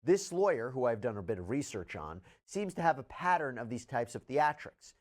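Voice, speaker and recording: A man presenting news and reading out statements with measured, deliberate emphasis. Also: medium pitch, sing-song. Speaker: news commentator.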